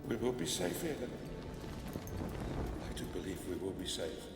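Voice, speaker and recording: An actor playing an anxious, imperious Roman emperor in a video game, hesitant and repeating himself. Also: male voice, fairly low pitch, very animated, normal speed, gravelly. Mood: nervous, fretful. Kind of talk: video game cutscene acting. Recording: echoey hall reverb, loud music behind.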